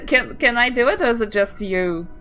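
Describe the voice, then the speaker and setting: Bright, animated New Zealand woman. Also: on the high side, moderate pace, soft background music. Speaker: player in a tabletop game podcast. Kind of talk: casual in-character game chat.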